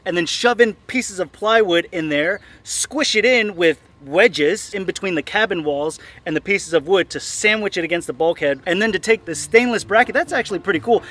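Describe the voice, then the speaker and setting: Excitable high-voiced man vlogging. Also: moderate pace, hardly any pauses. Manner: excitable, animated, jokey. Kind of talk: travel vlog narration. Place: car and boat, in and out of doors. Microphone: camera held at arm's length.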